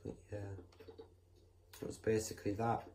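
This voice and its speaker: A male host chatting casually while building in a game, rambling with lots of fillers and restarts, sometimes bursting into excited exclamations. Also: normal speed, slight background noise. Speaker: gameplay channel host.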